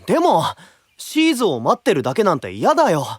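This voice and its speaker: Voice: mid-range voice, huge pitch swings, a little fast. A friendly teenage boy knight, earnest and eager. The voice swings expressively in casual, curious speech, in anime drama style over faint music. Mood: eager, determined.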